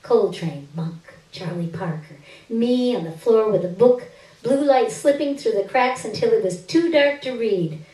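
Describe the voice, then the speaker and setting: A woman giving an expressive, deliberate reading of first-person literary fiction on stage, with drawn-out phrases. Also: on the high side. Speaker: reader of a short story on stage. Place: indoor stage, live reading. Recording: roomy stage recording, distant microphone.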